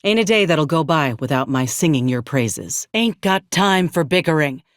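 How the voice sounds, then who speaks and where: An actress voicing a frank, loyal frontier soldier in a video game. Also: female voice, sits low, expressive, normal speed, no echo, studio quality. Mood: solemn, heartfelt, then urgent, wry. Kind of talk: scripted video game character dialogue.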